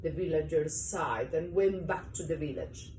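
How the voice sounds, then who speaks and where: A woman reading a children's story aloud to a young child in an animated, expressive way. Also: low voice, normal speed. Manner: expressive, acts out story parts. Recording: roomy, distant mic, faint noise.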